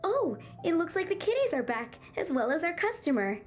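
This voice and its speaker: An actress playing a cheerful young tavern hostess in a video game, with a sweet, playful manner. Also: female voice, extremely high pitch, big pitch swings, fairly quick, music in the background. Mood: playful, pleased. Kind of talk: video game character acting.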